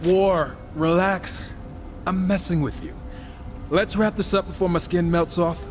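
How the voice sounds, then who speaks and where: A man's voice: high-pitched, steady tone, unhurried, faint music behind. An actor playing a cocky, irreverent young horseman in a video game, casual, teasing and sarcastic. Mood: playful. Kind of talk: video game cutscene acting.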